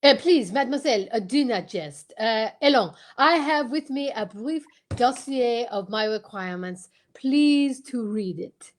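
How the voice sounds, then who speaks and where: Fussy Belgian male detective played by a woman, higher voice, courteous, formal, halting, for old-time radio comedy. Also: lively intonation. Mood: polite, insistent. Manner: formal, halting, sprinkled with French words.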